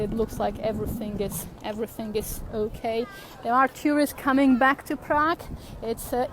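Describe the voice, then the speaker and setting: A woman from Slovakia in her thirties, speaking English, leads viewers on a live outdoor walking tour. She talks in a friendly, unhurried way and keeps pausing on fillers and restarts. Her voice sits a little high, with faint street noise from the city around her. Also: a little mellow. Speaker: tour guide.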